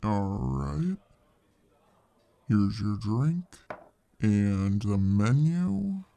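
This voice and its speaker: Laid-back, slow-talking bartender played by a man, gravelly. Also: lowish voice, lively intonation, warm tone, heavily acted. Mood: friendly. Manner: laid-back, hesitant, folksy, chatty. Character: friendly easygoing male fantasy tavern bartender, protective of his community. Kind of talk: ASMR roleplay, casual improvised-sounding chat to the listener.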